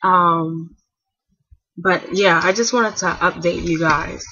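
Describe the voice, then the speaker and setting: A woman in her twenties chatting casually to camera at home. She rambles with lots of fillers and restarts, and keeps her voice soft so she won't wake a napping child. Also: lowish voice, slow, breathy edge, dry recording, highs cut off. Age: 20s. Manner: speaking softly to keep a napping child asleep. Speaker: hair vlogger.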